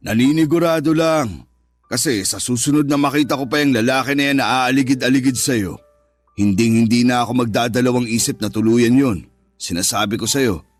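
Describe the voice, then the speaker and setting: A male actor plays a wealthy Filipino businessman in a radio drama, a jealous and possessive man with a medium-pitched voice. He speaks in a controlling way that can promise care or threaten. Music plays faintly behind him. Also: brisk, lightly acted. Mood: suspicious, threatening. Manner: controlling, alternately reassuring and threatening. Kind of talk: Tagalog radio drama acting.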